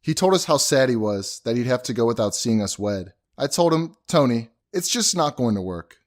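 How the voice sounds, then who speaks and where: Husband, played by a man, audio drama. Mid-range male voice, animated, earnest, self-justifying. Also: medium pitch, normal speed, close to an everyday voice. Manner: earnest, explanatory. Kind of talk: acted audio drama dialogue.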